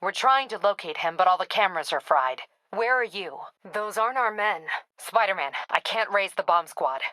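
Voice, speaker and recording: Crisp, bright-voiced no-nonsense police captain. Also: female voice, medium pitch, animated, moderate pace, dry recording. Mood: tense, matter-of-fact. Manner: clipped, authoritative, dry. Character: tough, overworked, no-nonsense female police captain. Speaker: video game voice actor. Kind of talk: dramatic video game dialogue.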